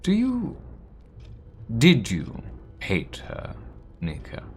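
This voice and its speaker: A man voicing a guarded, poetic young foreign woman in a text adventure game, with a measured, lilting delivery. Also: mid-range voice, wildly swooping pitch, slight background noise, full character voice. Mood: musing.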